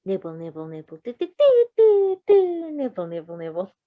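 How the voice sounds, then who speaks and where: A woman reads fiction aloud in an expressive way and drops into playful, chatty asides. The sound is dry and close, slightly dull at the top. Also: fairly high pitch, moderate pace, no echo. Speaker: fan fiction reader. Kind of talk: story narration with playful asides.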